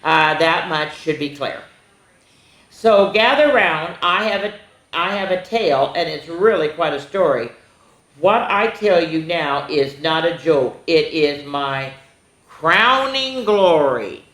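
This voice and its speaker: A woman voicing a proud, excitable turkey who tells its tale in dramatic picture-book rhyme. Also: low voice, slow pace, full character voice. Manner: boastful, dramatic rhyming storytelling. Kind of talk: rhyming picture-book read-aloud. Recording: roomy, echoing room sound.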